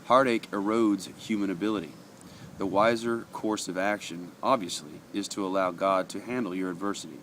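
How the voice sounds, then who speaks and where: A man with a very low voice talks through a daily devotional in an earnest, conversational way, stopping often on fillers. He sounds a little sniffly from allergies. Faint traffic hums behind him. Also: steady tone, moderate pace, fairly bright tone. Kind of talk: casual devotional talk, reading passages aloud.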